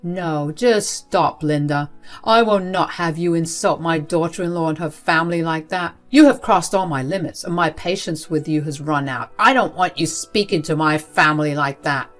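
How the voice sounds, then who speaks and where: Woman reading as a middle-aged family man, stern, fed-up, firm, emphatic, storytime drama, soft music underneath. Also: low voice, moderate pace, natural voice. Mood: angry, fed up. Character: stern, fed-up middle-aged husband and protective father-in-law.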